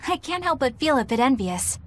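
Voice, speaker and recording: A polite young woman in an anime game. She speaks in a high, lively voice with prim, proper phrasing and an earnest, expressive manner. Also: brisk. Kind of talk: English anime game dub acting.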